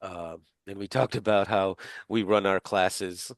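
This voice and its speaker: A middle-aged male instructor talks loosely to his class over a video call, wandering through ums and half-finished thoughts. His voice sits low and smooth, and the sound is dry and close. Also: steady tone, unhurried, dry recording. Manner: rambling, many fillers and restarts. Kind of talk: casual class introductions.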